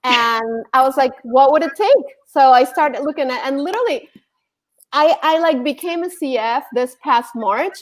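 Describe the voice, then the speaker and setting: Enthusiastic high-voiced woman guest. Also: normal speed. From Argentina. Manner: enthusiastic, emphatic, some repeats. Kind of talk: casual interview storytelling. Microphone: video call.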